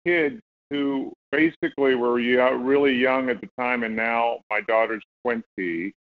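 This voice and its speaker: A middle-aged man from the United States talks as an interview guest in his middle register. His relaxed, storytelling delivery comes through dull, lo-fi audio. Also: mid-range voice, normal speed. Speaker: guest actor. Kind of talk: casual interview chat.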